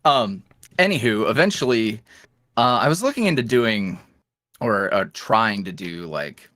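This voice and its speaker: Male podcast guest, wry and rambling, lively swoops in pitch, dry close sound. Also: a little slow, no echo. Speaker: podcast guest, ASMR roleplay creator. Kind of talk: casual interview chat.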